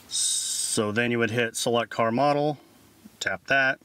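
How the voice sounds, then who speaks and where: A man's voice: medium pitch, bright, forceful tone. A man in his thirties giving an informal, step-by-step tech tutorial as the host. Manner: informal, instructional.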